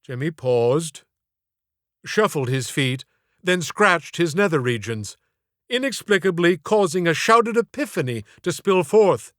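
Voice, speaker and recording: A man's voice: a little high, expressive, a little slow, dry recording, studio quality. A man narrating a comic story as an audiobook, in a theatrical, wry, mock-formal way.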